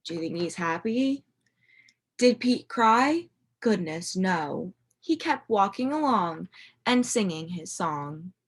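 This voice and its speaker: Female primary teacher doing an interactive storybook read-aloud; unhurried, slightly husky, expressive, with dramatic emphasis for young listeners. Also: medium pitch. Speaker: teacher reading a picture book aloud to young children. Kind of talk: interactive children's read-aloud, asking questions.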